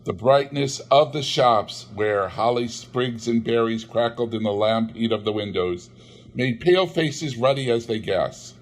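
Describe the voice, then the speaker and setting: A man reading a classic novel aloud in measured storytelling narration. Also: medium pitch. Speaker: audiobook reader.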